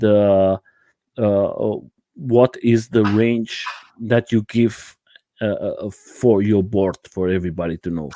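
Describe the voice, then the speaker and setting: A man from Portugal with a Portuguese accent, hosting a casual chat and speaking haltingly with many fillers. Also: on the low side, warm tone, a little hiss or hum. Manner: hesitant, many uh fillers, laughs easily. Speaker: livestream host.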